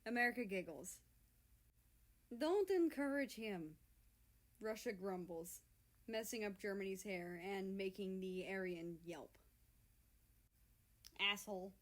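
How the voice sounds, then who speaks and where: A woman's voice: medium pitch, unhurried. A woman reading a fan-written story aloud as its narrator.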